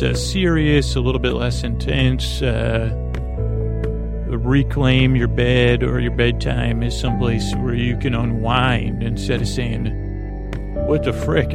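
A man hosting a bedtime podcast in a creaky voice, rambling in a meandering, hesitant way with frequent stammers. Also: mid-range voice. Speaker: podcast host.

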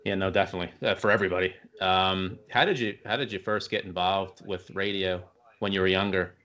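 A middle-aged male podcast host asks questions in a casual interview. His voice is fairly low. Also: fairly even intonation, fairly quick, pushed and bright, highs cut off.

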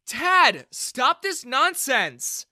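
A man voicing a feisty, protective young woman with an emphatic, high-strung manner in a dramatised story. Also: big pitch swings, normal speed, pushed and bright, no echo, clean studio sound, heavily acted. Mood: angry. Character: earnest, defiant young daughter, protective and feisty.

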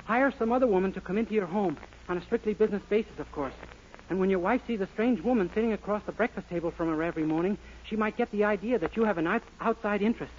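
Casting: actor as guileless boyish youth, high voice, quick earnest delivery, vintage radio comedy. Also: male voice, close to an everyday voice. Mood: reciting earnestly. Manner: innocent, guileless. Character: naive, earnest, boyish young man. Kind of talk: 1940s radio sitcom acting. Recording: muffled, noisy old radio recording.

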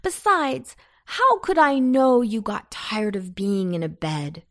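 A woman's voice: a little high, very animated, takes it slowly, heavily acted. A woman voicing an earnest young boy in a children's storybook, aggrieved and complaining.

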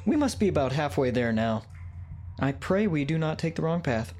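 A man's voice: on the high side, sing-song, normal speed, a little mellow, faint music behind. A man playing a polite, genteel pit boss in a comedic fantasy audio drama, with formal, theatrical phrasing. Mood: uneasy, polite. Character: chatty, polite male pit boss guiding new hires.

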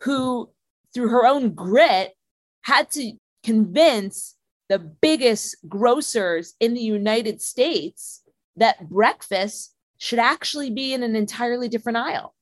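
A woman's voice: a little high, expressive, slow, no room sound. Woman co-host, enthusiastic storyteller, dry close recording. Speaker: podcast co-host. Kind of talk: podcast hosting, scripted intro.

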